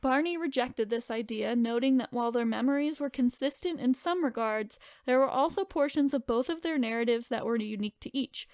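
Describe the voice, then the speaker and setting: A woman's voice: on the high side, fairly quick, few pauses, darkish tone, no echo, studio quality. A woman co-hosting a podcast, moving between informal chat and reading aloud from notes. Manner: informal, filler-heavy, hedging retelling. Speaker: podcast co-host telling the story.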